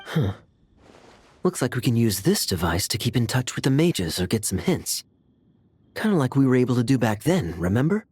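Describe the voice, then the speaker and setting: A man's voice: mid-range voice, very animated, moderate pace, a little mellow, breathy edge, no echo. A man playing a breezy, teasing, easygoing young knight in a video game, with a playful, lilting delivery. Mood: nostalgic, breezy.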